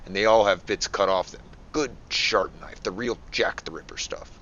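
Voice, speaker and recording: An actor playing a gruff, sardonic veteran police detective. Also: male voice, fairly low pitch, normal speed, a bit bright, dull audio, a little hiss or hum, lightly acted. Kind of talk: amateur motion comic voice acting.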